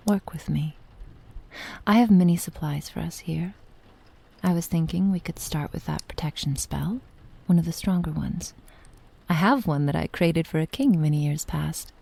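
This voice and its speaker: Low, breathy ancient witch, acted by a woman. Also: full character voice. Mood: warm, conspiratorial. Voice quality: soft, breathy, often dropping to a whisper. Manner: slow, formal archaic phrasing, deliberate pauses. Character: ancient possessive witch, tender, wary, loving mentor. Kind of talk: ASMR roleplay, soft intimate acting.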